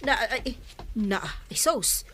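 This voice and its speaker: A woman's voice: on the high side, lively intonation, takes it slowly, background noise. A woman with an Ilocano accent playing a wry, gossipy village neighbour in a comedy radio drama. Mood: teasing.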